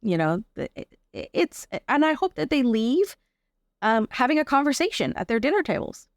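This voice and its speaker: A woman's voice: high-pitched, big pitch swings, normal speed, no echo. Canadian woman talking in a dry, close recording. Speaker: interview guest, performer and advocate. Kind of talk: conversational interview answers.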